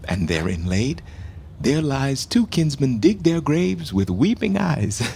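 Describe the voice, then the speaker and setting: A man's voice: medium pitch, big pitch swings, slow, vocal fry, darkish tone, slight background noise, heavily acted. A man acting a grieving, bitterly ironic king facing deposition in a Shakespearean radio play, with brooding, theatrical verse delivery. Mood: bitter grief.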